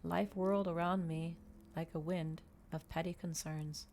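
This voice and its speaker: A woman voicing a solemn, eloquent male vampire in a measured, formal storybook reading. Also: fairly low pitch, steady tone, a little mellow, slight background noise. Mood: wistful.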